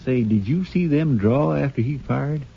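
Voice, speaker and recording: Earnest frontier sidekick with a. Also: male voice, mid-range voice, lively intonation, normal speed, gravelly, husky. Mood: sorrowful. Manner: deferential, plain-spoken. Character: earnest, simple, loyal frontier marshal's helper. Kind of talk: 1950s radio western acting. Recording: dull old radio broadcast, music behind.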